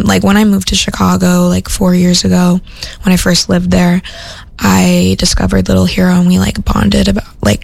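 A young woman hosting a radio show, talking loosely and cheerfully between tracks with constant "like" and "um" fillers. Her voice sits in the middle range but is very gravelly, with deep vocal fry through almost every phrase. The studio sound is close and dry. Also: no room sound. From Minnesota. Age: young adult. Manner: rambling, upbeat, heavy with "like" and "um". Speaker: radio host. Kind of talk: casual radio chat between songs.

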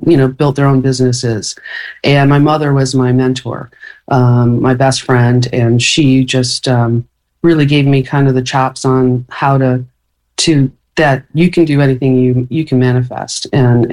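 A woman's voice: very deep voice, darkish tone, poor audio. A woman guest on a podcast, talking loosely in a rambling way with many fillers and restarts, and laughing easily. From born in London, raised in Michigan. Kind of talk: casual interview.

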